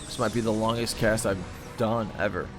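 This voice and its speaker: Guy casting a live strategy match, laid back, thinking out loud, voice getting worn, bit of quiet music behind. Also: on the low side, fairly even intonation, normal speed. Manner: easygoing, thinking aloud with fillers. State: losing his voice. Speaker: game caster. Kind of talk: live esports commentary.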